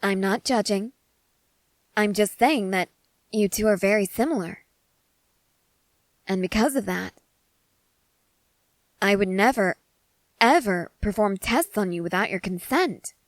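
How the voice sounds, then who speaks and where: An eager scientist soldier played by a woman in an audio roleplay, talking straight to the listener. She is excitable and earnest, leaning on key words and halting between thoughts. The voice sits at a middle pitch in a close, dry recording. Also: dry recording, natural voice. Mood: earnest reassurance. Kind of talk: ASMR character roleplay addressed to the listener.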